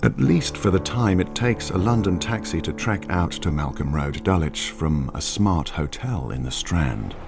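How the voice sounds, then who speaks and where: A crime writer musing over his own plot in a radio drama. His voice is extremely low and gravelly, with a slow, brooding delivery full of questions to himself. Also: lively intonation, music in the background, full character voice. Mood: brooding, intent. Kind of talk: intimate radio drama monologue.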